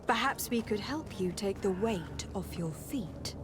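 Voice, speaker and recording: A woman's voice: mid-range voice, animated, a little slow, close to an everyday voice. An actress playing a poised, commanding noblewoman diplomat in a video game, speaking formally and articulately. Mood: shrewd, persuasive. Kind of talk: scripted video game dialogue. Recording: music behind the voice.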